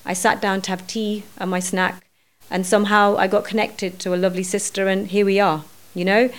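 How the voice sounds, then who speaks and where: A middle-aged woman, a podcast guest, talking earnestly and thinking aloud, often pausing with fillers. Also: mid-range voice, little pitch movement. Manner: earnest, thinking aloud with many "you know"s. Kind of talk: reflective podcast conversation.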